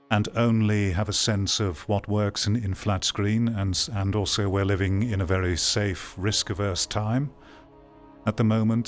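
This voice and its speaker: A British man giving casual, critical reaction commentary in a blunt, sardonic manner. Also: fairly low pitch.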